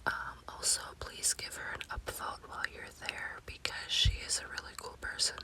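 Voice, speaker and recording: A woman whispering in a hushed, unhurried manner as an ASMR storytelling host.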